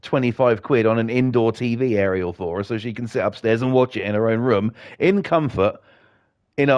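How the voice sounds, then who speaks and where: A middle-aged British man chatting and ranting with lots of swearing while he plays a game and talks to viewers, his voice a bit croaky. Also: medium pitch. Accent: British English. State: slightly croaky. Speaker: gaming livestreamer. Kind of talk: casual chat while playing a game.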